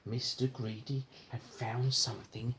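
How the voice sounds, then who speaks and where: A man's voice: mid-range voice, little pitch movement, measured pace, fairly bright tone, a little hiss or hum, rough recording. A man reading a storybook aloud to a child, chatting in a coaxing way with frequent little questions. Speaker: parent reading a bedtime-style story to a child.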